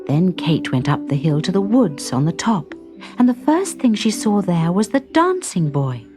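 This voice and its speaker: A woman narrating a children's story in a clear, deliberate, expressive manner. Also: fairly low pitch, faint music behind.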